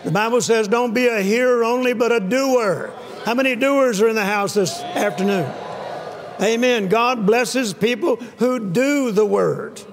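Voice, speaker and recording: Older male preacher, high gravelly voice, emphatic and repetitive, lapel mic in a reverberant church hall. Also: a little slow. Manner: emphatic, repeating key phrases, calls for amens. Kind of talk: sermon. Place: large church hall with a stage and live audience.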